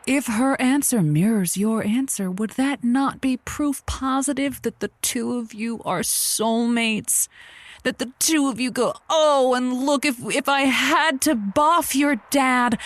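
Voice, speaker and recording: An actress playing a classy, haughty female radio advice host in an audio comedy, with florid, mannered speech and a sharp tongue. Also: high voice, slow pace. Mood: dryly encouraging. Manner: florid, mannered, affectionate pet names. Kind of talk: scripted audio comedy, call-in advice show.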